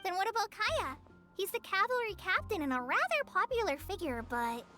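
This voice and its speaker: A timid young girl from an anime game. The voice is extremely high and small, hesitant and apologetic, with music clearly behind it. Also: sing-song, moderate pace, bright, forceful tone, heavily acted. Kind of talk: anime video game voice acting.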